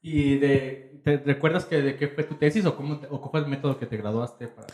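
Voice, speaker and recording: A man's voice: mid-range voice, steady tone, brisk, darkish tone. A man hosting a conversational podcast interview, hesitantly repeating phrases as he searches for words. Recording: echoey, roomy sound.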